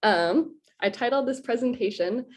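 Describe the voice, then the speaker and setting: A young woman with a head cold, sounding a little sniffly, giving a prepared presentation on her service term in an earnest, measured way with occasional ums. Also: fairly high pitch.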